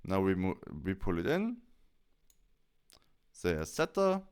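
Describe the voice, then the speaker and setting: Smooth, low-voiced man giving a software tutorial. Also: huge pitch swings, takes it slowly. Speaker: tutorial presenter. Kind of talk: software tutorial walkthrough, explained step by step.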